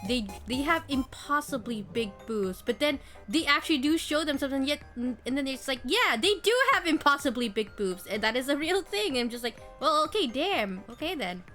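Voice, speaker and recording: A young woman chatting casually on a stream, giggly and animated. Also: high-pitched, moderate pace, hardly any pauses, soft background music. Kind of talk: casual chat.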